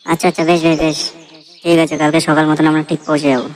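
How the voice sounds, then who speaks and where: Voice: medium pitch, flat delivery, racing, a little mellow, slight background noise, lightly acted. A performer voicing a broke young labourer in a comedy cartoon, speaking colloquially and repeating short phrases. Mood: eager. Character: broke young labourer, foul-mouthed, easygoing, looking for work.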